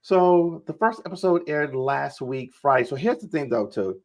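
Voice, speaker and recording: A man's voice: a little high, animated, normal speed. A man hosting a recap podcast in an informal, conversational way, on a slightly dull recording. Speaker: podcast host. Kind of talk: casual recap show chat. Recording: slightly dull, lo-fi microphone sound.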